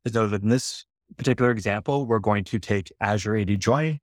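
Man narrating a software demo. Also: fairly low pitch, brisk, no echo, studio quality. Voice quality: self-correcting, conversational. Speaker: IT presenter. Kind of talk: screen-recorded software demo walkthrough.

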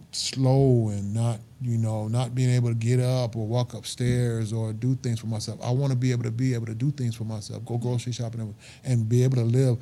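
Man, 50s, truck-driver guest; earnest and emphatic, very mellow dark tone, mid pitch. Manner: earnest, emphatic, repeats himself. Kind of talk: casual interview talk.

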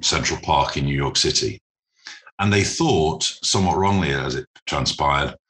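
Man, very low pitch, bright forceful tone, formal interview guest, measured and deliberate. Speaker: interview guest, private equity managing director. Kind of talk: explanatory business interview.

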